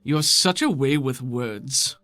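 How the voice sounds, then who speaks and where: A man voicing a stern, exasperated female plant creature in a tabletop game, with formal, cutting, deliberate phrasing. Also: medium pitch, sharp tone, no echo.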